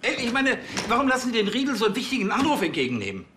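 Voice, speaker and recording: An actor playing an irritable, fussy police chief in a comedy series, snappy and easily exasperated. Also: male voice, extremely high pitch, wildly swooping pitch, very fast, background noise, exaggerated voice. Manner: hesitant fillers, snappy questioning.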